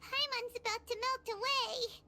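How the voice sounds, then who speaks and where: A little childlike fairy sidekick from a video game, extremely high-pitched and whiny. She exclaims everything with cheerful drama, and her voice sits in very noisy game audio. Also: fairly even intonation, measured pace. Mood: whiny, tired. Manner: speaks of herself in third person, whiny, exclamatory.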